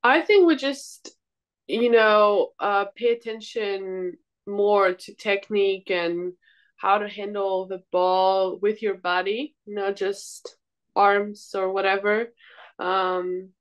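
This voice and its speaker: A woman's voice: mid-range voice, fairly even intonation, slow, clear, resonant tone. A young woman from Greece, a volleyball player answering interview questions in a casual, hesitant, informal way. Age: young adult.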